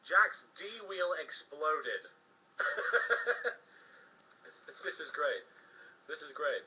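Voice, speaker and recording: Guy reading a story for laughs, theatrical n wry, highish bright voice, a bit off mic. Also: very animated, very slow, far from the mic, low-quality recording. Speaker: fan fiction reader. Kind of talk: comedic dramatic reading with joking asides.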